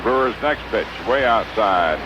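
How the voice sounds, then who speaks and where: American baseball announcer, noisy vintage radio. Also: male voice, expressive. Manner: measured, clipped phrases with pauses between pitches. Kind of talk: 1950s radio sports commentary. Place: ballpark broadcast booth. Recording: noisy, dull vintage 1950s radio broadcast.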